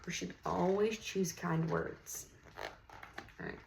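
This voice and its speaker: A woman reading a picture book aloud in an encouraging, teacherly manner, pausing to put questions to young listeners. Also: fairly low pitch, big pitch swings, vocal fry, darkish tone, a little hiss or hum. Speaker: read-aloud host, teacher-like reader.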